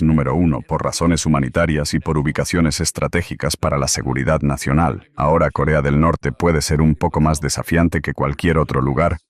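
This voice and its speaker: Deep-voiced emphatic male commentator. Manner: emphatic, repeats key phrases. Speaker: political commentator guest.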